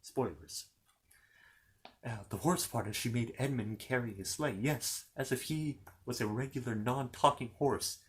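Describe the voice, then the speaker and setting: A man's voice: mid-range voice, measured pace. A man telling a children's fantasy story in an informal ASMR style, rambling along with playful, courteous asides to the listener.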